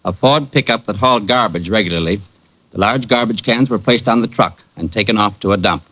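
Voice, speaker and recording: A man's voice: lowish voice, fairly quick, sharp tone, no room sound. A man playing a pedantic, humourless police sergeant in an old-time radio drama, stiff and precise. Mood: precise, matter-of-fact. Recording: dull, dry vintage radio recording.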